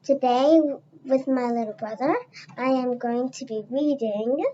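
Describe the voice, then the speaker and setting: A child reading a storybook aloud in a halting way, stumbling and repeating words. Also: high voice, dark tone, highs cut off, a little hiss or hum. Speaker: read-aloud presenter.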